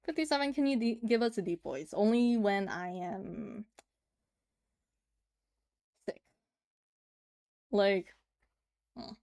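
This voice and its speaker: A woman's voice: mid-range voice, lively intonation, slow pace, creaky, pauses often, darkish tone. A woman livestreaming as she draws, chatting casually and giggling as she answers her chat. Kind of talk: casual chat while drawing on stream.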